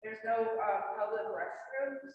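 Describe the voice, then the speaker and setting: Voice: medium pitch, measured pace. A resident speaking earnestly and emphatically at a community meeting. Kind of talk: public meeting discussion. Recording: dull echoey hall, from far off.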